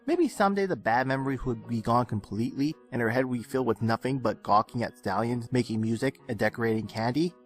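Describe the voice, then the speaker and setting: A man reading a scripted fan fiction story aloud with expressive narration. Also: mid-range voice, fast, few pauses. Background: soft game music.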